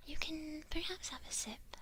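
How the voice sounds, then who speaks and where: A woman playing a teasing witch who mentors an apprentice in a whispered ASMR roleplay, halting and gently patronizing. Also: sits high, slight background noise. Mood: coaxing, secretive. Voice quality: breathy whisper.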